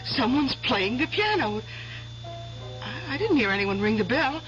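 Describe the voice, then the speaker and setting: An actress playing a sentimental, anxious wife in a 1970s radio drama, halting and emotional. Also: female voice, high voice, big pitch swings, a bit bright, faint music behind, heavily acted. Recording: dull vintage radio broadcast.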